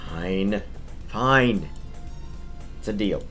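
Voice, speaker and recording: A man's voice: on the low side, expressive, very slow, over music, pitched below the performer's own voice. A man voicing an exasperated, easily flustered teenage boy who scolds and stammers in a visual novel. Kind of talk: visual novel read-aloud.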